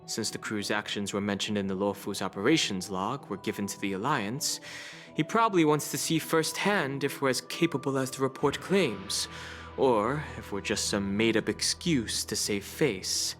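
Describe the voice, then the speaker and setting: A man voicing a level-headed, analytical traveling companion in a game, speaking in a measured, matter-of-fact way. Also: fairly low pitch, fairly bright tone, faint music behind, lightly acted. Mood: explanatory. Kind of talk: game cutscene voice acting.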